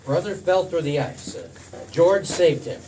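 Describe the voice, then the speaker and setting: An actor playing a bumbling, eager and hesitant angel in 1940s radio drama style. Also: male voice, a little high, a little slow, close to an everyday voice. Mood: puzzled, attentive. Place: theater stage. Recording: distant stage pickup with clear noise.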